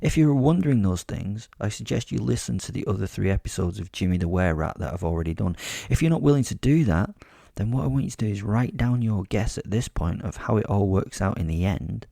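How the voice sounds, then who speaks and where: A man with a low, mellow voice and a gravelly edge tells a darkly comic horror story as a sardonic werewolf. He talks straight to the listener, wry and sweary, in a close, dry recording. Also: expressive, fairly quick, dry recording. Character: sardonic, sadistic male werewolf telling his story. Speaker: podcast host and storyteller. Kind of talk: comic first-person horror storytelling, in character.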